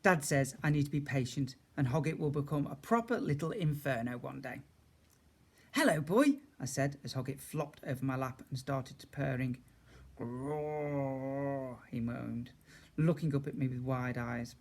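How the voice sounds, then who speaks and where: A man's voice: medium pitch, fairly even intonation, moderate pace. A man reading a children's story aloud as a teacher would, expressively, with chatty asides to his young listeners.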